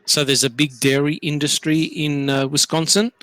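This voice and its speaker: A man's voice: a little high, little pitch movement, normal speed, sharp tone. An Australian man hosting a casual group discussion, leading the talk with questions and lots of fillers. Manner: leads with questions, many fillers. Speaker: podcast host.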